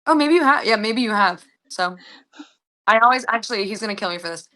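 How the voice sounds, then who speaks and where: A woman's voice: medium pitch, expressive, fairly quick, sharp tone. A woman chatting casually and enthusiastically as an actress being interviewed.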